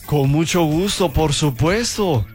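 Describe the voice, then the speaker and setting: A man's voice: on the high side, expressive, slow pace, soft background music. A man with a Colombian accent hosting a live call-in radio show. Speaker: radio host. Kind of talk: live call-in radio presenting over music.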